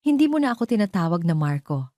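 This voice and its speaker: Woman voicing an earnest, low, mellow romantic suitor. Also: sing-song, fast talker, dry recording, studio quality. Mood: wistful. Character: earnest, devoted young father and wealthy suitor.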